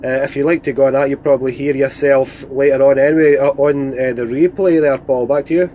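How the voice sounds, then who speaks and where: A man's voice: mid-range voice, steady tone, fairly quick, dark tone, a little hiss or hum. A man with a Scottish accent chatting casually over CB radio in informal operator phrases, speaking from inside a van. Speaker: radio net operator filming a live stream. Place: inside a parked van outdoors in the countryside.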